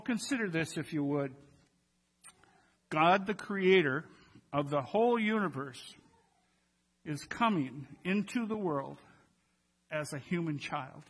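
A man's voice: a little high, breathy edge. A man in his sixties preaching a Christmas Eve sermon in a conversational way, speaking directly to his congregation from the pulpit. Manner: conversational, addresses congregation with rhetorical questions. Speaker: pastor preaching. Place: church sanctuary, at the pulpit. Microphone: gooseneck pulpit microphones.

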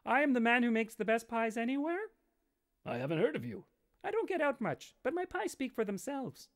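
A man playing a shy, humble pie baker in a storybook tale, his voice pushed high and a touch soft. He sounds timid and easily flustered. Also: very animated, a little fast, exaggerated voice.

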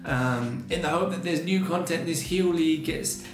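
A man's voice: on the high side, normal speed, reverberant, music in the background. A man in his thirties with a strained, sore-throated voice, chatting casually as a gaming channel host while reacting to each result, recorded on a webcam mic at his desk in a small room. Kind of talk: casual let's-play commentary.

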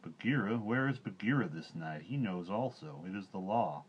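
A man's voice: on the low side, animated, normal speed, slightly rough, muffled recording. Man reading as Baloo, a solemn old bear. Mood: stern. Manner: grave, formal old-fashioned speech. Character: old wise male bear, teacher, solemn.

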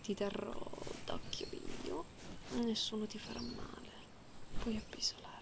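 Woman as a slow, whispering affectionate catgirl. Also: on the high side, level tone. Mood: soothing. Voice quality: breathy whisper. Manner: slow, soothing, cooing. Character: affectionate, gently teasing catgirl comforting a sleepy listener. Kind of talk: ASMR roleplay. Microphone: close binaural microphone.

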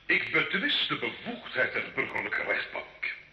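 A man's voice: fairly high pitch, big pitch swings, measured pace, sharp tone, full character voice. An actor playing a proud, defiant medieval nobleman in a radio play, grandiloquent and mocking. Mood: defiant, indignant. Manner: grandiloquent, sarcastic, theatrical. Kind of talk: old Dutch-language radio play acting. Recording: dull, echoey old radio recording.

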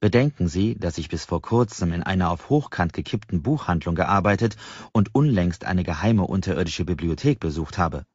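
Deep-voiced male audiobook narrator. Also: expressive, normal speed, darkish tone, slightly rough, no echo, dull audio. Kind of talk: scripted first-person novel narration.

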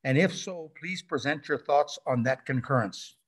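A man's voice: fairly high pitch, normal speed, slightly rough. A man speaking as a mayor chairing a public hearing on a video call, courteous and procedural. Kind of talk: formal meeting chairing.

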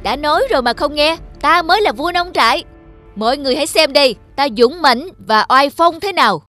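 A boastful young farmyard rooster voiced by a woman bickers in a high, theatrical cartoon voice. The delivery is quarrelsome and proud, made for children, with light music underneath. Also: lively intonation, brisk, pushed and bright. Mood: triumphant, haughty.

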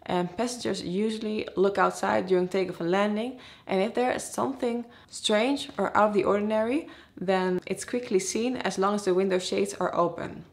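A woman's voice: mid-range voice, steady tone, normal speed, creaky. A woman in her twenties from the Netherlands with a Dutch accent, presenting a scripted explainer to camera as a vlogger. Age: 20s. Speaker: vlogger, airline pilot.

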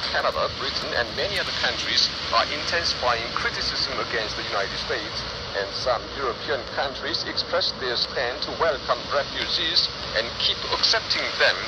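A man reading scripted news in a formal, stern, declamatory manner, heard over shortwave radio from a handheld receiver's speaker. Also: medium pitch. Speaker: radio newsreader. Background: heavy radio static and hiss.

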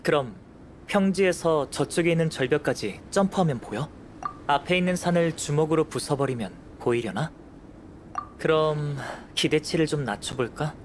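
A man playing a calm, curious young alchemist in an anime game, speaking in a composed, measured, politely detached way. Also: fairly high pitch, slight background noise, lightly acted. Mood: analytical, calm. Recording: studio voice over game music.

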